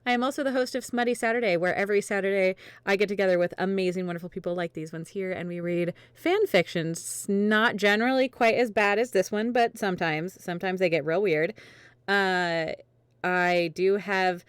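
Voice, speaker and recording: A woman streamer hosting a casual online show. Her voice is smooth and on the lower side, recorded close and dry. She talks in an effusive, grateful, chatty way. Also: no echo. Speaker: streamer and host of a fan fiction reading show. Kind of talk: casual livestream sign-off chat.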